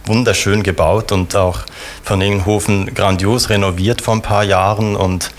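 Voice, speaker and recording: A middle-aged man from Switzerland, a theater director answering interview questions thoughtfully with many pauses and restarts. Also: fairly low pitch, level tone, slight background noise.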